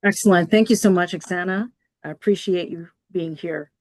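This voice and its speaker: A woman's voice: lowish voice, moderate pace, darkish tone, no echo. A woman hosting a virtual panel, introducing and thanking guests in a courteous, formal way. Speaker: host and moderator. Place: virtual summit video call.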